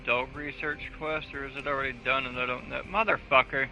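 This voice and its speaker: A man's voice: medium pitch, steady tone, a little fast. A man chatting casually on a gaming live stream in a crude, profane, joking way. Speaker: video game live streamer. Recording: dull lo-fi audio, faint music.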